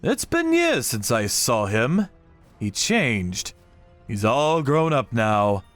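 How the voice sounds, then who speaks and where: Guy narrating a dark story, slow n serious, music under it. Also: medium pitch, big pitch swings, no echo. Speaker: narrator of a manga story, telling it in first person as a vigilante character. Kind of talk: scripted dramatic narration. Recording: music behind the voice.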